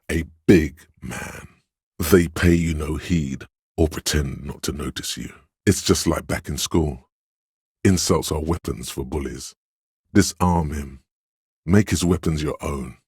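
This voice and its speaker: Domineering inner voice, extremely deep, resonant, pompous, commanding, measured game narration, dry close sound. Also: male voice, breathy edge, no echo, heavily acted. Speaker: video game voice actor.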